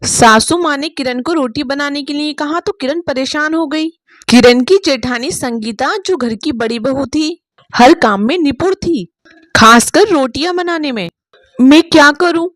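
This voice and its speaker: A woman narrates a moral story from a script in a high-pitched voice. The sound is very dry, with hardly any room on it. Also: unhurried, dry recording.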